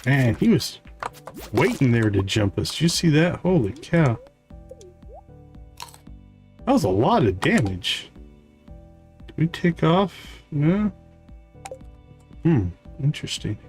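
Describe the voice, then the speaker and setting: A man's voice: fairly high pitch, sing-song, slow, pauses often, darkish tone, faint music behind. A man hosting a live game stream, giving casual commentary and thinking aloud as he plays. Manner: thinks aloud while playing.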